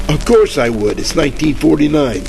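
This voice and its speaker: A wisecracking private eye from a 1940s radio parody, played by a man. He has a gritty, creaky voice and a deadpan, sarcastic way with overblown similes. Music plays under the crackly old-time broadcast. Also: fairly high pitch, normal speed. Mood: sarcastic, tough. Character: wisecracking hard-boiled male private detective, bumbling and sarcastic. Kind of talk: 1940s radio detective parody, noir first-person narration.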